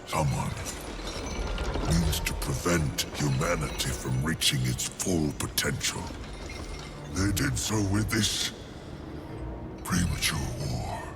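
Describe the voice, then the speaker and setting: An actor playing an ancient, solemn otherworldly lord in a video game, with grave, deliberate, formal and theatrical delivery in a gravelly voice. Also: male voice, full character voice. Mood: solemn, earnest. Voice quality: very deep, gravelly. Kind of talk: video game cutscene acting. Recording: loud music behind the voice. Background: loud music.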